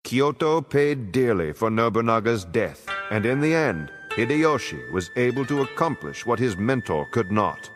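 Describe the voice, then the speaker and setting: Man narrating history with lively, sweeping intonation. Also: mid-range voice. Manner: measured, formal storytelling delivery. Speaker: narrator of game campaign cutscenes. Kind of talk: dramatic scripted historical narration. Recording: music behind the narration. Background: background music.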